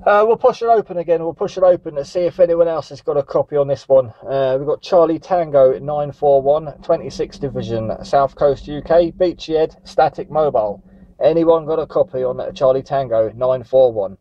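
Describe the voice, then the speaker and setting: A man's voice: a little high, moderate pace, warm tone, dry recording. A man chatting and calling for contacts as a CB radio operator. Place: static vehicle on a seafront headland. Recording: dull lo-fi CB radio recording.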